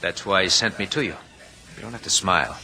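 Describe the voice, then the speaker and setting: Casting: slick, insistent young con artist, piercingly bright low voice, vintage radio drama. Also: male voice, fairly quick. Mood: eager, insistent. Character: eager, scheming young college-educated swindler. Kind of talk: 1940s-50s radio drama acting. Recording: noisy vintage radio recording.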